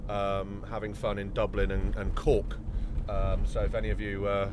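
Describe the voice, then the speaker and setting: A man's voice: on the low side, completely flat delivery, moderate pace, noisy background. A British man, a touring actor, chatting casually while on the road, full of ums and restarts. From Britain. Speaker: touring actor and podcast co-host. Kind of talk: casual chat recorded on the road. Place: moving van on tour.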